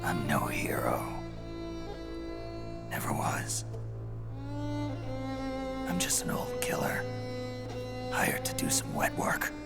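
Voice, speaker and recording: A gruff old mercenary in a video game drama. The voice is gravelly and weary, with wide swings of pitch and terse, fatalistic phrasing. Loud music plays behind it. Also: male voice, sing-song, drawn-out words, pauses often, heavily acted. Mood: weary, resigned. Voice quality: gravelly, growling. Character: weary, gruff aging male soldier, legendary mercenary.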